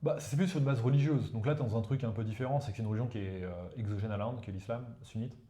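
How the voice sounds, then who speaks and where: A man from the Ganges plain speaks French, his tongue being Hindi. He explains at a rapid clip in a mellow, somewhat dark voice, dropping "you see" into his casual talk. The recording has a noticeable roomy echo. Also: mid-range voice. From India, Ganges plain. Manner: talks fast and explanatory, tags sentences with "you see". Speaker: guest expert, professor.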